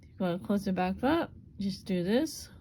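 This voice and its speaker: A woman with a gravelly, hoarse voice demonstrates a small craft step by step. Her talk is relaxed and unscripted, with plenty of repeats as she works. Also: medium pitch, moderate pace, slight background noise. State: voice going hoarse. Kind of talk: casual hands-on craft demonstration.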